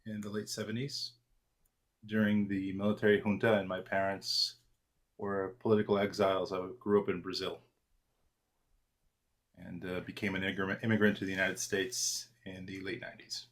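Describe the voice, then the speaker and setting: A man in his forties, born in Argentina, answering interview questions in a relaxed, self-deprecating way with many fillers and restarts. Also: low voice, moderate pace. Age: 40s. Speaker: trader, interview guest.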